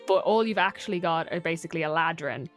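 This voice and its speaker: A woman's voice: low-pitched, fast, soft background music. A woman talking casually about folklore and games, opinionated and given to rambling asides.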